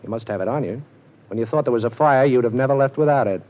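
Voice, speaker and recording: A man's voice: mid-range voice, fast talker, lightly acted. An actor playing a cool, cocky male ex-con detective with a wisecracking manner, in 1940s radio acting. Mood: tough. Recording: dull 1940s radio broadcast.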